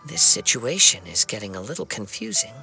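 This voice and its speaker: A man playing a polite, composed young investigator in a video game, speaking formally and deliberately as he reasons. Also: lowish voice, very animated, creaky, music in the background. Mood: calm, thoughtful.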